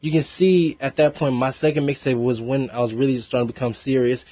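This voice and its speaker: A young man talks through his music in a relaxed, rambling way, laughing easily between thoughts. His voice sits at an ordinary pitch. The studio sound is dry and a little dull. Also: fairly quick, no room sound. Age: young adult. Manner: laid-back, laughs often, rambling with fillers. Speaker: rapper guest. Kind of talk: casual interview chat. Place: college radio studio.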